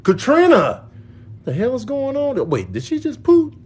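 A man's voice: extremely high pitch, huge pitch swings, a little slow, husky, exaggerated voice. A man voicing a playful, exasperated animal in a comedic voiceover, streetwise and repetitive.

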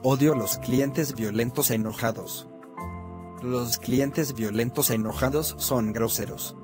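A man voicing a bratty bald cartoon boy who mocks grown-ups, with a flat, sneering delivery over music. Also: medium pitch, takes it slowly, natural voice. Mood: smug. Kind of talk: fan-made cartoon dub.

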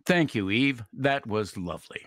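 A man's voice: mid-range voice, animated, moderate pace, a bit bright, no echo, clean studio sound. A man announcing in a scripted old-time radio style.

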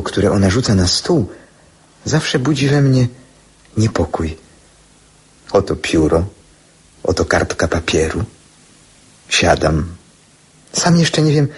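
Young poet, male, husky and low, unhurried dreamy declamation for radio drama. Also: exaggerated voice. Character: dreamy, theatrical teenage schoolboy poet.

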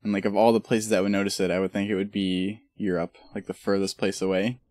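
A man's voice: on the low side, flat delivery, fast talker, darkish tone, no echo. A man streaming a video game, chatting casually and joking in a rambling way. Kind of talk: casual chat while gaming.

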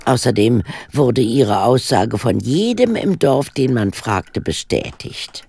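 A woman's voice: lively intonation, slow pace, dark tone, no echo, heavily acted. An older woman acting a shrewd, unflappable elderly amateur detective in a German audio drama, composed and pointed. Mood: shrewd, accusing. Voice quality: low, husky, gravelly.

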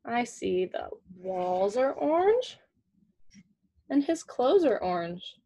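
A woman's voice: fairly high pitch, sing-song, takes it slowly, warm tone, husky. A woman in her twenties reading a picture book aloud to children in simple, teacherly phrases with questions. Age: young adult, 20s. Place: small indoor room at home with shelves.

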